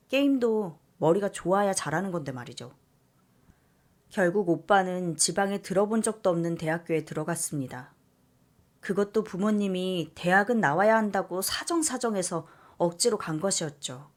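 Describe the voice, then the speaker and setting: A woman narrating a personal story in a calm, measured way, as if reading it for listeners. Her voice sits a little low for a woman. The delivery is steady and scripted. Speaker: story reader.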